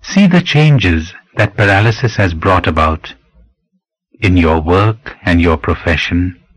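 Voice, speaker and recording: A man narrating a scripted spiritual meditation in a measured, deliberate, instructive way, pausing between phrases. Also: sits low, lively intonation, a bit bright, breathy edge, no echo, poor audio.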